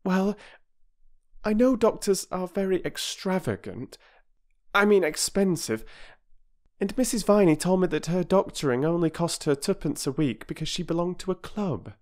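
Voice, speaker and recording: An earnest young girl pipes up with high, pleading questions, as a man puts her on. Her voice leaps about restlessly, and she speaks in a storybook reading. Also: expressive, normal speed, a little mellow. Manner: eager, pleading, rising questions.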